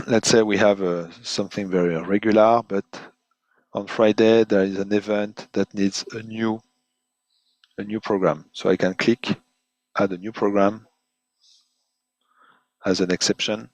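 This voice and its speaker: A man presenting an unscripted webinar software demonstration in halting, hesitant phrases, often repeating words as he goes. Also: on the low side, gravelly, no echo, poor audio. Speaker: product presenter giving a software demo.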